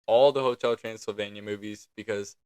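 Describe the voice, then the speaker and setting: A young man co-hosting a casual podcast chat, rambling and poking fun at himself, with a raspy voice. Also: lowish voice, steady tone, brisk, a little mellow, no echo. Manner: rambling, self-mocking. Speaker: podcast co-host.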